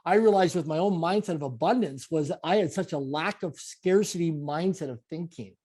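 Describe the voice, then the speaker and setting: Canadian guy late 40s, high voice but mellow, keeps hammering his points in an interview. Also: a little fast. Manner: emphatic, repetitive motivational phrasing. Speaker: guest. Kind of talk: conversational interview.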